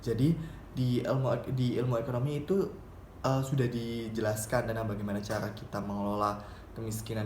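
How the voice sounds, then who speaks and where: A young man gives a semi-formal student presentation, explaining in a halting way and often repeating or correcting his phrases. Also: fairly low pitch, darkish tone. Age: young adult. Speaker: university student presenting a paper. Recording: roomy echo, faint background noise.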